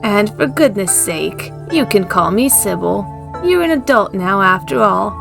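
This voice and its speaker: Slow, creaky older woman played by an actress. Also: mid-range voice, lively intonation, music in the background. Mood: gracious, welcoming. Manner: gracious, motherly, unhurried. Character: kindly, hospitable older small-town woman, firm when needed. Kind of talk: visual novel fan dub.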